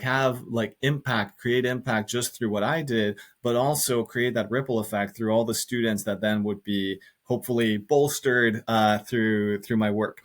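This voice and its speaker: Middle-aged male podcast guest, Costa Rican-raised, fairly deep register, sincere, thinking out loud. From Costa Rica. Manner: earnest, thinks aloud with repeated words. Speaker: podcast guest, engineering professor. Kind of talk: reflective conversational interview.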